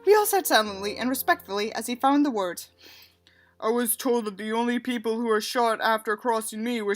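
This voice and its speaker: A woman reading a book aloud and adding sarcastic, exasperated, emphatic asides of her own. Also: mid-range voice. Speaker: audiobook reader and commentator.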